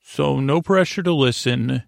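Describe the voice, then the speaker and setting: Man, gravelly creak, lulling bedtime podcast host, halting rambling delivery, goofy, dry close sound. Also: medium pitch, dry recording. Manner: meandering, halting, stammering, trailing off into tangents. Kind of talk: rambling bedtime monologue to help listeners sleep.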